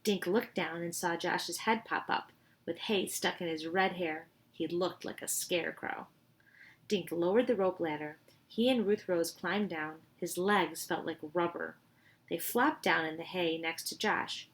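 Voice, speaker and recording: Woman, slightly low voice, expressive storybook read-aloud, informal asides. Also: a little slow. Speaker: teacher reading aloud.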